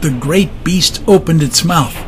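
Male storyteller, fairly high voice, dramatic audiobook narration, prominent music bed. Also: unhurried. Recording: loud music under narration.

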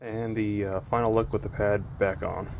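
A man giving a casual how-to narration of his DIY work, plain-spoken and relaxed, with plenty of "uh" fillers. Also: lowish voice, moderate pace, vocal fry, slight background noise. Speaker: DIY mechanic vlogger.

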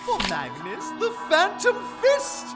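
A woman voicing a sly, theatrical warlock shopkeeper creature in a comic dub, with grandiose, drawn-out delivery. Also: very high-pitched, huge pitch swings, full character voice. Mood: showy salesmanship. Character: theatrical sinister shop-keeping warlock creature, sly salesman. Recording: distant voice under loud music.

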